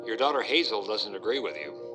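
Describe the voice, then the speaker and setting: A man's voice: on the low side, big pitch swings, brisk. A man playing a probing, skeptical reporter in a dramatized interview. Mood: probing, doubtful. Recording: distant voice under loud music.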